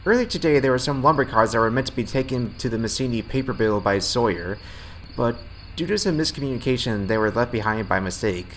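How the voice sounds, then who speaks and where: A man's voice: mid-range voice, expressive, a little fast, fairly bright tone, a little hiss or hum, lightly acted. A man playing a friendly rail yard night foreman, explaining things plainly in an audio drama. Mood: friendly, coaxing. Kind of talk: fan audio drama acting.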